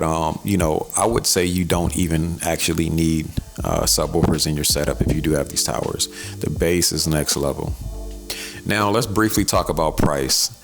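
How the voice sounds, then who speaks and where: American male reviewer, extremely low gravelly voice, casual and colloquial delivery over music. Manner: conversational, colloquial. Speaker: audio gear reviewer and host. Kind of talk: casual product review narration.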